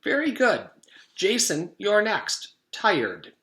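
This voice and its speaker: A man voicing a chirpy, fair-minded woman schoolteacher, brisk and encouraging with her young pupils in a storybook. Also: high-pitched, huge pitch swings, pushed and bright, pitched above the performer's own voice, heavily acted. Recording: echoey room.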